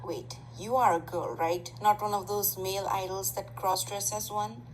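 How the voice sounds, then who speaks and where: A woman's voice: medium pitch, steady tone, normal speed, a little hiss or hum, natural voice, rough recording. A woman voicing a mild, slightly awkward teenage boy idol in an amateur anime fandub, chatting in a casual, musing way. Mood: curious, musing.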